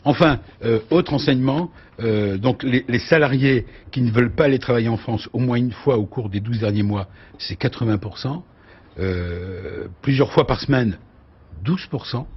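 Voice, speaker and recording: A man hosting a business TV panel, reading out survey figures with quick asides to his guests. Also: medium pitch, breathy edge, lo-fi sound. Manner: lively interviewer, reads out figures, quick asides. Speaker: TV show host. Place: TV studio.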